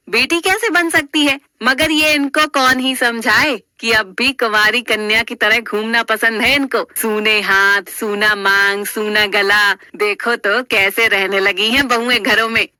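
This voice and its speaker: Woman putting on a snide, bright-voiced gossipy auntie. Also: on the high side, no echo. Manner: taunting, pointed. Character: snide, gossiping middle-aged auntie, haughty.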